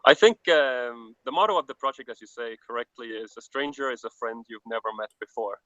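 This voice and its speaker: An earnest man with a Danish accent reflects on his travels in English, often restarting his sentences. His voice is bright and forceful, and it sounds dry and a little dull over a video call line. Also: medium pitch, a little fast, no echo. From Denmark. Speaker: traveler guest.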